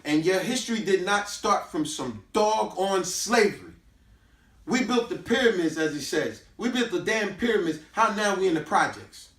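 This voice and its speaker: Man, American accent, higher-pitched voice, emphatic informal lecturing, rhetorical questions, roomy distant recording. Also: animated, normal speed, fairly bright tone. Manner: emphatic, preachy, repeats himself with rhetorical questions. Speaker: host giving a talk on history and culture. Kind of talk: informal teaching talk to companions. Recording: echoey room, distant microphone.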